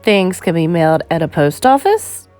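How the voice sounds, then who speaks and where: A woman reading an educational children's picture book aloud in a measured, clearly phrased storytime delivery. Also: lowish voice, sing-song, a little mellow, faint music behind.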